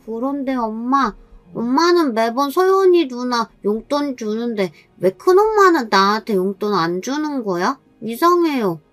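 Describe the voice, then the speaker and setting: A woman's voice: on the high side, expressive, measured pace, dry recording, faint music behind, pitched above the performer's own voice. A woman voicing an innocent, puzzled little boy who asks plaintive questions in a dramatized story. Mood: innocent puzzlement.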